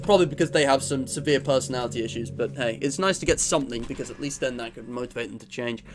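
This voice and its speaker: A man's voice: medium pitch, quick pace. A young man livestreaming a video game, chatting casually with viewers in a rambling, self-mocking way. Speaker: gaming streamer. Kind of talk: casual live-stream chat. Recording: game music in background.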